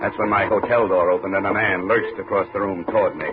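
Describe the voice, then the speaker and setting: An actor playing a hard-boiled insurance investigator, terse and deadpan, in 1950s radio noir style. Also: male voice, deep voice, quick pace, over music, close to an everyday voice. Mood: wry, matter-of-fact. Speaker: lead detective and narrator. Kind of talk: 1950s radio noir acting and first-person narration. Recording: tinny lo-fi 1950s radio recording.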